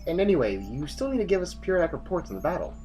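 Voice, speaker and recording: An actor playing a weary, exasperated army general with a stern, formal manner in an audio drama. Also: male voice, fairly high pitch, sing-song, racing, mellow, soft background music.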